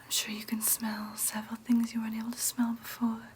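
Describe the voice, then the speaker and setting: Casting: woman to play gentle, businesslike female vampire nurse for ASMR roleplay; breathy whisper throughout, soothing clinical bedside manner, fairly high-set, level melody. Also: completely flat delivery, brisk, slight background noise, lightly acted. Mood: pleased, attentive. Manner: soothing, clinical, hesitant asides.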